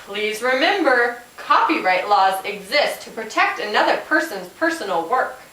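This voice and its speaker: A woman's voice: on the high side, sing-song, normal speed, pushed and bright. A woman voicing a helpful police officer puppet, giving a scripted, instructive talk to a class. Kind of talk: scripted educational puppet show. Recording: roomy, distant microphone.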